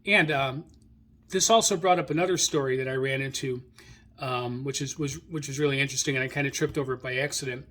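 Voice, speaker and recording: A middle-aged or older American man hosting an informal radio hobby monologue, rambling haltingly and repeating phrases. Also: medium pitch, steady tone, a bit bright. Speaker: radio hobby show host.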